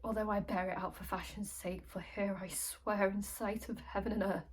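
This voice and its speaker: A woman's voice: mid-range voice, little pitch movement, moderate pace, breathy edge, close to an everyday voice. An actress playing a grieving, vengeful noblewoman, delivering classical tragic verse in an intense, reproachful, declamatory way. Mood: vengeful resolve. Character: grieving, vengeful noblewoman of Renaissance revenge tragedy. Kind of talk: classical verse tragedy monologue.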